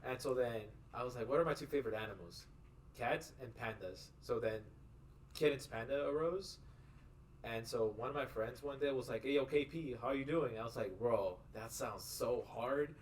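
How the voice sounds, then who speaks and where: A man's voice: medium pitch, normal speed, recorded from a distance, a little hiss or hum, rough recording. A young man telling a story in slangy casual podcast chat. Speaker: esports team member.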